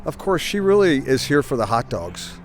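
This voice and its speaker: An older man, a grandfather, talking in a relaxed, easygoing way at an outdoor soccer practice. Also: mid-range voice, moderate pace.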